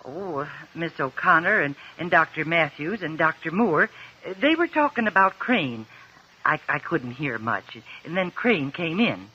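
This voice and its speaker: A man playing a flustered, hesitant courtroom witness in 1950s radio drama, stammering and halting. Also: on the high side, big pitch swings, pushed and bright, slightly rough, exaggerated voice. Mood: nervous. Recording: tinny old radio recording.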